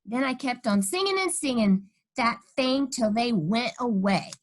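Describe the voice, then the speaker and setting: A woman's voice: a little high, expressive, unhurried. A woman voicing a cheeky, stubborn kindergarten girl who tells her own story with childish, emphatic, dramatic delivery. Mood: indignant, confiding. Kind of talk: first-person children's storybook reading.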